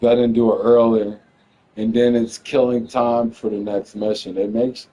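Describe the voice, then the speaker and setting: A man's voice: mid-range voice, little pitch movement, normal speed, mellow, slight background noise, low-quality recording. A young man casually chatting and swearing while playing a video game, rambling in a laid-back way. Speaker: gamer playing on stream. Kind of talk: casual chat while gaming.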